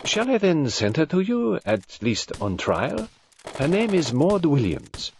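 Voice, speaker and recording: A man playing a polite, brisk foreign detective with a French-speaking accent and formal, precise phrasing in an audiobook. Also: a little high, huge pitch swings, creaky, darkish tone, a little hiss or hum, pitched above the performer's own voice, heavily acted. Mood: courtly, persuasive.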